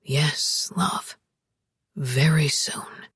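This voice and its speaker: A woman voicing a devoted elderly husband who speaks gently and reassuringly in a hush. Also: sits low, drawn-out words, breathy edge, no room sound, whispered, studio quality, voice pushed down, heavily acted. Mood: soothing.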